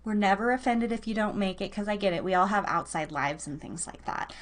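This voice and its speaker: A woman's voice: mid-range voice, a little fast. A woman hosting a live online radio show, chatting informally with listeners and rambling as she thinks aloud. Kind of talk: casual, chatty livestream talk to listeners.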